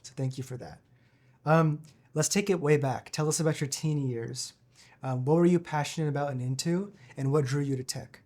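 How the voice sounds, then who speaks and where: A man's voice: a little high, normal speed, mellow. A young man hosting a podcast, reading an upbeat introduction and asking questions in an effusive way. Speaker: podcast host. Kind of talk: scripted intro and interview questions. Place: studio in an office.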